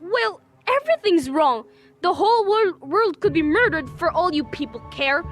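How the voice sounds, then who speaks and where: A woman's voice: very high voice, lively intonation, normal speed, soft background music. A woman playing a nervous, demanding bedridden wife in a suspense radio drama, fretful and imperious. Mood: irritated, demanding.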